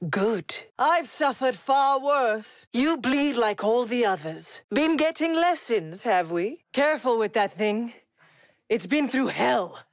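A woman playing a mocking, vengeful villain in a video game, delivering deliberate, sneering combat taunts. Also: fairly high pitch, expressive, no room sound, clean studio sound. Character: mocking, vengeful female villain hunting a young Jedi.

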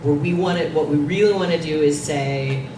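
Low-voiced woman presenting in an echoey room. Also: moderate pace. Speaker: panel presenter. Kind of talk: informal conference presentation. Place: dim conference meeting room. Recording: distant, echoey, noisy room recording.

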